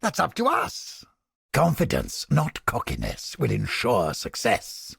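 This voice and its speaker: A man playing a haughty, creepy mind-reading interrogator in a video game, with a pompous, florid, theatrical delivery and a raspy, gravelly voice. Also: medium pitch, huge pitch swings, slow pace, a bit bright, no room sound, studio quality, exaggerated voice. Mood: cold disdain. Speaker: game character. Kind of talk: video game character voice lines.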